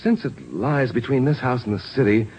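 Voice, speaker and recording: A man's voice: medium pitch, animated, moderate pace, darkish tone, highs cut off, natural voice. A man plays an earnest lawyer on the case in vintage radio crime drama. His mid-range voice moves animatedly as he probes, over faint recording noise. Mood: urgent. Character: earnest, urgent male lawyer turned investigator.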